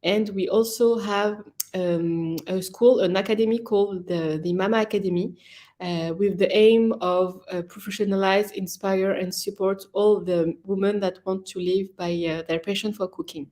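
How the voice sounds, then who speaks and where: A woman from France, a social enterprise founder, introducing herself on a video call panel, earnest and halting with uhs. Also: mid-range voice, fairly even intonation, mellow.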